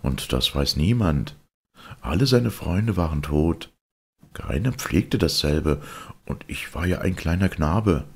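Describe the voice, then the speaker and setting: A man's voice: very deep voice, lively intonation, slow, slightly rough, pitched above the performer's own voice, exaggerated voice. A man voicing a thoughtful, nostalgic young husband in a storybook reading. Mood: regretful.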